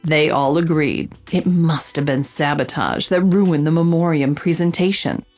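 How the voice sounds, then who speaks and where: A woman playing an inquisitive reporter who narrates her case like a noir sleuth, in deliberate dramatic audio drama style. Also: lowish voice, a little mellow. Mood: intrigued.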